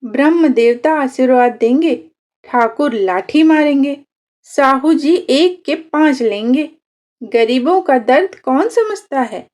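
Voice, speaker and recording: A woman's voice: high voice, darkish tone. Woman reading a bitter, world-weary poor villager man.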